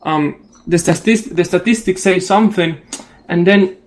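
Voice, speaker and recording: A man's voice: high voice, moderate pace, slight background noise. A man in his twenties with an Ecuadorian accent, a native Spanish speaker, talking casually as a radio guest. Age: 20s. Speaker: psychology professional guest. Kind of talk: casual radio discussion in non-native English.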